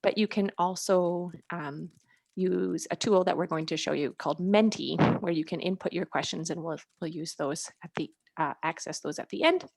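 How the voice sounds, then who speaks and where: A woman giving an informal online presentation, thinking aloud as she goes in a halting way. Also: on the low side, vocal fry, a little mellow, no room sound. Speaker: presenter, ocean education coordinator. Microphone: video call.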